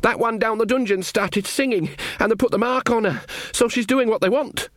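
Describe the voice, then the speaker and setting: A man's voice: high voice, moderate pace, a bit bright, no room sound, clean studio sound, voice pushed up. A man voicing a frightened, simple young castle guard in a storybook, gabbling deferentially in anxious rustic speech. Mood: panicky.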